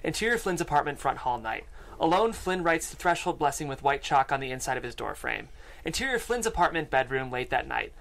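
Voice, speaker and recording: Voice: medium pitch, sing-song, a little fast, gravelly, a bit bright. A narrator reading out screenplay stage directions at a table read in a podcast studio. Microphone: studio microphone and headphones.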